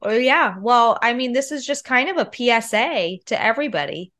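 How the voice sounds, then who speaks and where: A woman's voice: fairly high pitch, expressive, moderate pace, a bit bright. Woman, 30s, nasal voice, stuffed up with a cold, casual podcast chat, confiding. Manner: chatty, confiding storyteller. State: sick with a cold. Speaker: podcast co-host.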